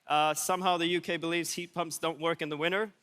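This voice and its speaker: A man's voice: a little high, flat delivery, fast talker, bright, forceful tone, clean tone. A man giving an informal conference presentation in a dry, wry, casual manner. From Sweden. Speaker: conference speaker. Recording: echoey hall with long reverb.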